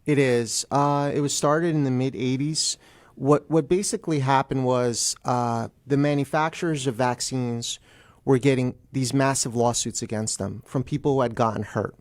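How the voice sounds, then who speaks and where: A man speaking as a guest attorney in a radio interview, explaining plainly in halting phrases. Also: medium pitch, fairly even intonation, no echo.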